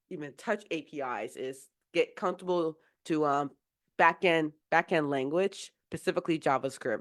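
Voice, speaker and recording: Female tech mentor, a little low-pitched, recovering from a cold, casual and rambling with frequent fillers. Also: a bit bright. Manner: casual, rambling explanations with many fillers and restarts. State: getting over a cold. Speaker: software engineering mentor. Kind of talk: live-streamed mentoring session.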